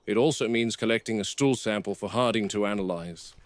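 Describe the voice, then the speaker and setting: A man playing a seasoned, watchful game warden, recording an analytical field log in measured, clipped observations. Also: lowish voice, lightly acted. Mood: clinical, calm. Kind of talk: found-footage field log monologue. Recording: noisy VHS-style found-footage audio.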